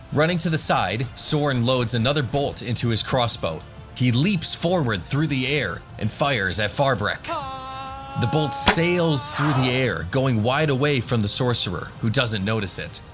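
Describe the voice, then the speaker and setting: Male fantasy narrator over soft music. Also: medium pitch. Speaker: narrator, game master.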